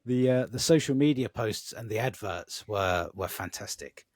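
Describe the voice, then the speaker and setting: An English man with a British accent hosts with lively, joking energy. His voice is low and husky, and it sounds close and dry with no room around it. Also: moderate pace, dry recording. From England. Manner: animated, jokey. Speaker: podcast co-host. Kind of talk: casual chat.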